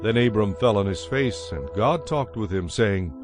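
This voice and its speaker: A man giving a solemn, measured scripted narration of scripture in a dramatized style. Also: lowish voice, animated. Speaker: Bible narrator. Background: music.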